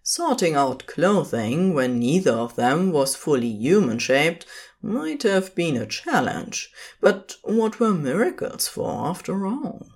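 Slow, expressive fan fiction narrator. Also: medium pitch, husky. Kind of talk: scripted fan fiction narration, intimate storytelling.

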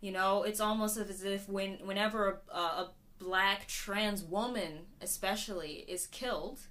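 A woman's voice: medium pitch, measured pace, fairly bright tone. A young woman guest on a podcast, talking earnestly and casually, with many restarts and "you know"s. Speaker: podcast guest, protest organizer. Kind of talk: casual conversational interview.

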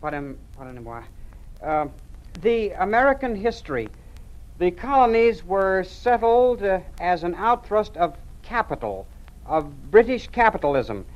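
An actor playing a nervous young male student who recites haltingly, in a 1950s radio drama. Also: sits high, very animated. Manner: halting, hesitant, full of uhs. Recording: dull lo-fi old radio recording.

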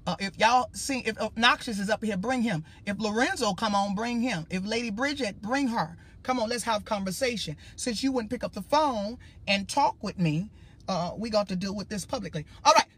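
A man with a high-pitched voice hosting his own livestream as a preacher, talking to viewers in an emphatic, rambling way. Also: normal speed, fairly bright tone, husky. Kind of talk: casual livestream talk.

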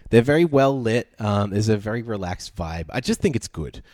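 A man's voice: on the low side, lively intonation, moderate pace, a little mellow, no echo. An Australian man casually recapping a show from home, laid-back and sarcastic with lots of ums. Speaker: podcast co-host.

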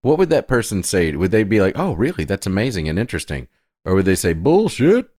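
Blunt opinionated podcast guy, real deep voice, dry mic. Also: wildly swooping pitch, brisk, darkish tone, no room sound. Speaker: podcast co-host. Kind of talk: casual chat.

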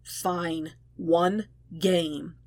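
A reluctant young man in a story reading, put on by a woman. The voice is low, quiet and flat, with a grumpy, grudging reserve and a dry, close sound. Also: extremely slow, dry recording. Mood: resigned.

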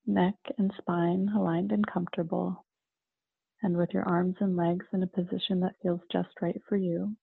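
A woman leading a guided meditation in a calm, soothing manner, with gentle pauses between phrases. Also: on the low side, creaky, husky, no room sound. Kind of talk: slow guided meditation narration. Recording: narrow phone-like, muffled sound.

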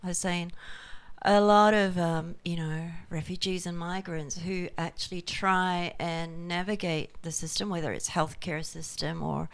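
A woman interviewing a guest in a relaxed conversation, engaged and quick with murmured replies. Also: fairly low pitch, smooth. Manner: engaged, frequent backchannel murmurs.

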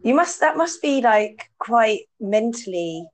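A woman in casual conversation, talking quickly and getting her words mixed up, with lots of fillers. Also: a little high, lively intonation. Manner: chatty, quick and mixes up words, many fillers. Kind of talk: casual chat.

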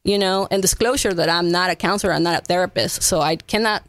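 A woman's voice: fairly low pitch, fast, no room sound. A woman from Ecuador, a native Spanish speaker, talking earnestly as an interview guest and explaining her work in a conversational way with frequent check-in tags. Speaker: guest, massage therapist.

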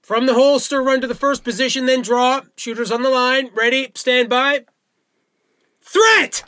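A man playing a brisk, encouraging firearms instructor in an audio drama, with clipped, commanding delivery. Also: very high-pitched, pushed and bright, a little hiss or hum, heavily acted. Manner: commanding, clipped instruction with coaxing pep talk.

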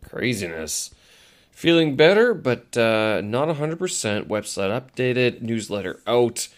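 A man's voice: medium pitch, sing-song, measured pace. A man with a stuffy, nasal voice from a cold, talking casually and rambling on his solo audio blog, with many fillers and self-corrections.

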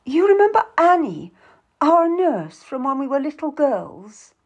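A woman's voice: on the high side, big pitch swings, a little slow, muffled recording, voice pushed up. A woman voicing a melodramatic, tearful young noblewoman who implores and schemes in an audiobook story. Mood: pleading.

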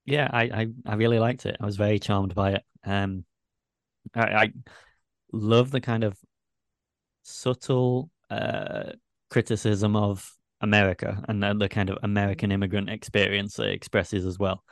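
A man on a community radio show giving a halting, thoughtful film review, often repeating words. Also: fairly low pitch, flat delivery, gravelly, no echo, studio quality. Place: radio studio.

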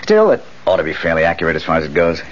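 Nosy eager crime reporter, low swooping voice, emphatic, chatty, radio play. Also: male voice, huge pitch swings, racing. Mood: keen, confident. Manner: talkative, hesitant, emphatic. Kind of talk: 1970s radio drama acting. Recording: dull, noisy 1970s radio recording.

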